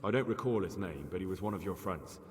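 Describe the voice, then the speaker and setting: A plucky young man speaks on the low side. He is plain-spoken and persistent, in the dramatic style of a video game cutscene. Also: lively intonation, fast, husky, big-room sound. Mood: guarded, confident. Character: plucky young man posing as a would-be gang recruit.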